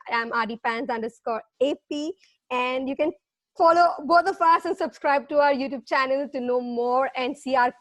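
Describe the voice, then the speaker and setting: A woman's voice: high-pitched, a bit bright, no room sound. A woman from India co-hosting a casual chat show, bubbly and eager as she asks questions. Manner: bubbly, eager interviewer with fillers. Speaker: co-host.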